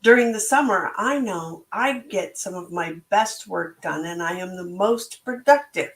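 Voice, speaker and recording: Slow, slightly hoarse woman in an echoey room. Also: fairly low pitch. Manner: slow, thoughtful, motivational delivery. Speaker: podcast host and book club organizer. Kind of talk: reflective solo talk.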